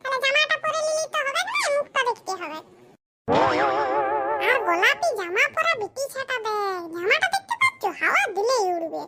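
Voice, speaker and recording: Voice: very high-pitched, sing-song, moderate pace, over loud music, exaggerated voice. An actor voicing a cheeky, bickering young villager in a comedy cartoon. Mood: teasing. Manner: teasing, scolding, bickering. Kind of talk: comic cartoon dialogue in village dialect.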